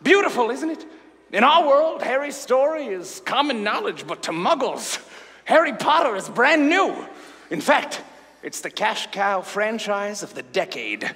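A man playing a gleefully greedy, foul-mouthed conman wizard in a comic staged reading, boastful and theatrical, swinging into shouts. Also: extremely high pitch, big pitch swings, a little slow, heavily acted. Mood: smug scheming. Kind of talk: comedic stage villain monologue at a staged reading. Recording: reverberant hall, faint noise.

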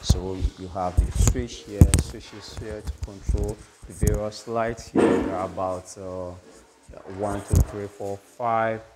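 A man giving a casual walk-through as an electrician, halting as he lists items, on a handheld recording inside an unfinished house. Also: on the low side, steady tone. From Ghana. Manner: halting, itemising. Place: indoors, unfinished house with bare walls. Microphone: handheld phone or camera. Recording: noisy handheld recording in bare room.

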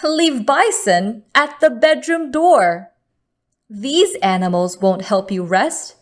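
A woman with a fairly high voice reads a children's bedtime picture book aloud. She goes very slowly, phrasing each line deliberately and with expression, as a storyteller for young listeners. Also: animated.

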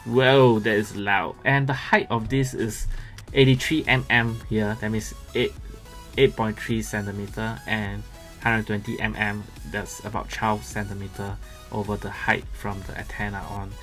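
A man gives casual, halting unboxing commentary as a gadget reviewer. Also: fairly low pitch, flat delivery, a bit bright. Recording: music playing behind the voice.

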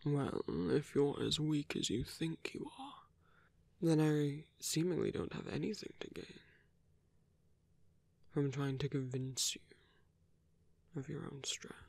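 A man playing a smooth, seductive villain in an ASMR roleplay, teasing and coaxing in a breathy voice that often drops into a whisper. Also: a little high, steady tone, a little mellow, no room sound, full character voice. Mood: playful, seductive. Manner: slow, teasing, coaxing, with long pauses. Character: smooth seductive male villain tempting a captive hero.

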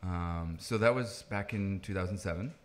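A man's voice: low voice, moderate pace, a little hiss or hum. A man in his thirties from the Netherlands, a native Dutch speaker, explaining things conversationally as a podcast guest. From Netherlands, moved to the US at nine. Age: 30s. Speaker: podcast guest, tech founder. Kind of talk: conversational interview answers, explaining.

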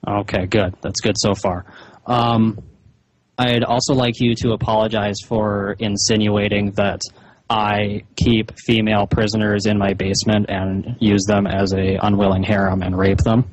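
A man speaking as a caller on a phone, in a measured, hesitant but insistent way, with slightly muffled sound. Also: on the low side, level tone, a little hiss or hum. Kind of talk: slow, halting phone conversation. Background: phone call recording.

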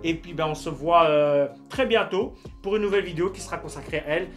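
A young man with a high-pitched voice presents an unboxing vlog. He talks in a casual, slangy way, like someone showing things to friends. Faint music plays under his voice. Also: quick pace. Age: young adult. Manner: informal, slangy. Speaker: YouTube host unboxing souvenirs. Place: small dim room at home, indoors.

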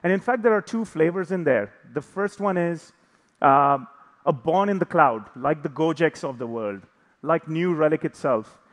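A man's voice: fairly high pitch, lively intonation, moderate pace. A man in his thirties giving a conference talk on stage, conversational and self-deprecating with light jokes. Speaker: conference speaker. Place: large conference hall on stage. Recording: reverberant hall.

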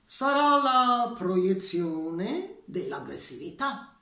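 A woman's voice: mid-range voice, sing-song, very slow, sharp tone, heavily acted. A woman doing a pompous lecturing doctor. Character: pompous know-it-all doctor. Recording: echoey room.

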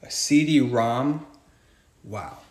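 A man narrating his walk through abandoned buildings and old vehicles, excited and talking straight to viewers, filmed on a handheld phone. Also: medium pitch, extremely slow, background noise. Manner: excited, keeps addressing viewers as "guys". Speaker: urban explorer vlogger. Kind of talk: walkthrough narration while exploring.

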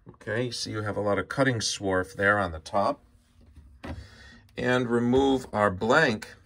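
A man's voice: lowish voice, fairly bright tone. A man giving a casual hands-on demonstration at an indoor workbench, explaining methodically one step at a time. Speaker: presenter of a hands-on tutorial. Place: indoor workshop bench.

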